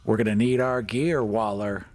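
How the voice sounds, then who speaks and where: A man's voice: on the low side, fairly even intonation, normal speed, a little mellow, slight background noise, natural voice. A man voicing a cocky, mouthy but loyal furry squad member in a tabletop game, sarcastic and brash. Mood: blunt.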